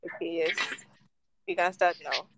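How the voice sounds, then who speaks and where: A woman's voice: fairly low pitch, over loud music. Young woman host, from Nigeria, teasing banter, easy laughs, remote call. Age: young adult. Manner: playful, teasing, quick to laugh. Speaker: podcast host. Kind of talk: casual chat.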